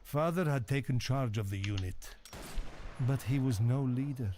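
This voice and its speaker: An actor playing a somber male wartime sniper who narrates his story in a video game, measured and reflective. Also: medium pitch, close to an everyday voice. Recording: game audio under battle noise.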